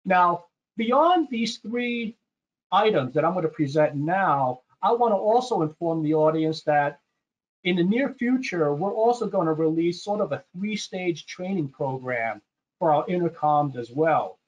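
Higher-voiced man presenting, dull audio. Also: animated, unhurried. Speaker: sales presenter hosting a product webinar. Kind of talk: informal slide presentation to an online audience. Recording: dull, muffled webinar audio.

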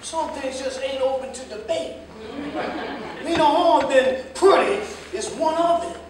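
An actor playing an opinionated, bantering cab driver in a theatrical staged reading. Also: male voice, very high voice, a little slow, crowd noise. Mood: insistent banter. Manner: animated, insistent, bantering. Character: opinionated, argumentative jitney driver man. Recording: echoey noisy hall, distant microphone.

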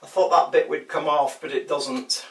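A man's voice: fairly high pitch, fairly even intonation, moderate pace. An older man giving a casual hands-on how-to, chatty and wry with self-deprecating humour. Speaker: DIY tutorial presenter. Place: small tiled bathroom shower, indoors. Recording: roomy tiled echo, distant microphone.

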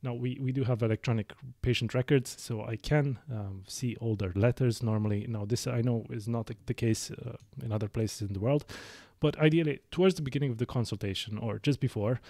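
A man in his thirties with a Romanian accent, hosting a medical podcast and explaining his clinical practice in a measured way, with frequent fillers and self-corrections. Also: mid-range voice, darkish tone, no room sound, clean studio sound. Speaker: lung specialist physician, podcast host. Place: small dark studio. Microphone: studio mic on boom arm.

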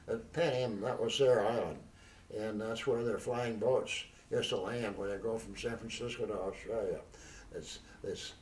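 An elderly man in an oral history interview, recalling his past in a halting, rambling way with many restarts. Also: medium pitch, darkish tone, slight background noise. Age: older. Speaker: veteran interviewee. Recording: roomy, distant mic.

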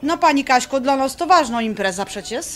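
An actress playing a perky, cheeky young bride-to-be with an eager, folksy manner in a radio drama. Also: female voice, high-pitched, lively intonation, brisk. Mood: earnest.

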